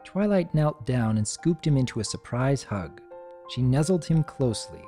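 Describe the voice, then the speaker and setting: A narrator reading a story aloud in an expressive storytelling style. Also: medium pitch, normal speed. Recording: music behind the voice.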